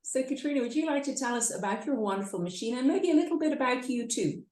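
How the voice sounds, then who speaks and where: A woman's voice: sits high, animated, fast, darkish tone. A woman hosting an online chat and introducing a guest. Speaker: host. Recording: roomy, echoing online chat.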